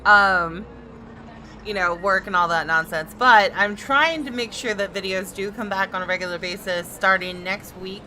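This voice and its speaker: A woman's voice: medium pitch, moderate pace, sharp tone, slight background noise, poor audio. A woman hosting a gaming video from a room at home, mixing informal commentary with reading game text aloud. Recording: faint music behind the voice.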